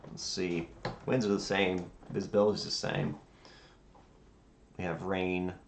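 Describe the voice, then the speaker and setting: A man streaming as a virtual air traffic controller, chatting casually in a halting, rambling way as he thinks aloud. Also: low-pitched, flat delivery, gravelly. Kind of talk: casual livestream chat. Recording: roomy sound with faint background noise.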